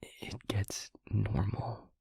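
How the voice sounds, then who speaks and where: A man playing a tender, haunted male vampire boyfriend in an intimate audio roleplay, speaking haltingly and earnestly in a breathy, husky hush. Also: on the low side, flat delivery, no echo, heavily acted. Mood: tender, reassuring. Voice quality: breathy, husky near-whisper. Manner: halting, hushed, earnest delivery with heavy pauses.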